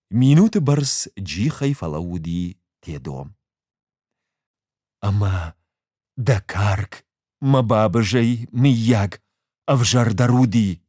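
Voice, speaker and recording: A man with an Ossetian accent reads a story as an audiobook, measured and expressive in his delivery. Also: lowish voice, no room sound, studio quality. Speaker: actor reading a short story. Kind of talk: audiobook narration with acted dialogue.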